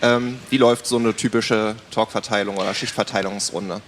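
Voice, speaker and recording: A man's voice: lowish voice, steady tone, normal speed, long reverb tail, slight background noise. A man moderating an informal panel discussion, summing up in short, unscripted phrases and putting questions to the others.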